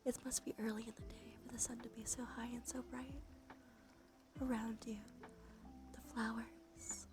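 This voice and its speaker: A woman giving an ASMR guided visualization in a slow, soothing, gently coaxing manner. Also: fairly high pitch, flat delivery. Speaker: ASMR streamer. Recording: music behind the voice. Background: music.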